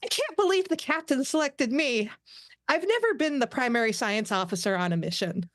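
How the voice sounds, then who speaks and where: A woman voicing an eager, excitable and anxious young science officer at a cartoon script table read. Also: sits high, normal speed, dry recording, studio quality, pitched above the performer's own voice. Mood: excited.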